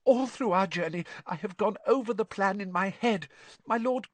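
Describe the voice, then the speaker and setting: A man voicing a timid, servile wizard henchman in an audiobook, squeaky, anxious and pleading, words tumbling out in a rush. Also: big pitch swings, moderate pace, no echo, full character voice. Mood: panicky.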